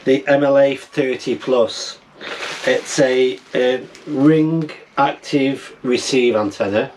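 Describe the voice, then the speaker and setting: A middle-aged man chatting casually as he tinkers, halting and thinking aloud, recorded indoors on a camera mic. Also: medium pitch, steady tone, a little mellow, slight background noise, rough recording. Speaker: amateur radio vlogger. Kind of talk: casual vlog chat while tinkering and unboxing. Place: small wooden cabin, indoors.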